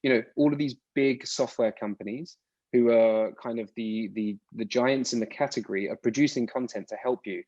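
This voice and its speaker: A man's voice: mid-range voice, moderate pace, a little mellow, no room sound. British man, rambling guest answers. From United Kingdom. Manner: rambling, restarts words often. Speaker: podcast guest. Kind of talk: casual interview answers. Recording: dull lo-fi dry sound.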